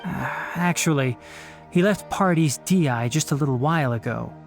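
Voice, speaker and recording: An actor playing a young male forest watcher in a video game, brisk, sensible and courteous. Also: on the high side, soft background music, close to an everyday voice. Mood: matter-of-fact.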